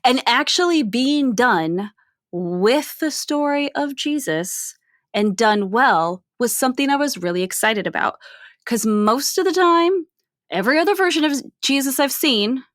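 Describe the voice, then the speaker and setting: A woman's voice: high voice, lively intonation, measured pace, fairly bright tone, dry recording, studio quality. A woman co-hosting a podcast, talking casually about film in an explanatory way, often checking in with "right?". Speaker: podcast co-host.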